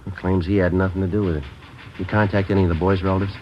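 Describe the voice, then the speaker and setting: An actor playing a calm, matter-of-fact male police detective in an old radio drama, speaking in a clipped, level way. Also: sits low, rapid-fire, lightly acted. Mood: clipped, businesslike. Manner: clipped, level, gentle with the boy. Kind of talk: 1950s radio drama acting. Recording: dull lo-fi old radio broadcast with hiss.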